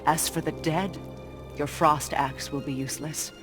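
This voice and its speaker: A woman's voice: sits low, steady tone, a little slow, over music. An actress playing a proud, wise witch goddess and healer in a video game, solemn, firm and instructive. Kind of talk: dramatic video game acting.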